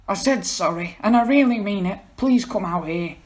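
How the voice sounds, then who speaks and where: A man plays an irritable, exasperated friend in a story reading. The voice is pushed higher and louder than plain narration, with a blunt, sarcastic edge. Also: animated, normal speed. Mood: apologetic. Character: irritable, curt male friend, exasperated, later apologetic. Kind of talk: amateur dramatic reading of a story.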